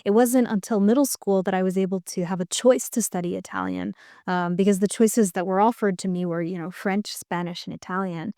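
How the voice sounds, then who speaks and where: Soft-spoken, thoughtful woman guest. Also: medium pitch, steady tone, brisk, dry recording, studio quality. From United States. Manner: thoughtful, hesitant, many restarts. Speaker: podcast guest, musician. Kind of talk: reflective interview talk.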